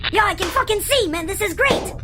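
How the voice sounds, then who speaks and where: A woman's voice: very high voice, animated, brisk, very noisy. A woman voicing an eager, slangy male starship crewman in an amateur sci-fi radio comedy. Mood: excited.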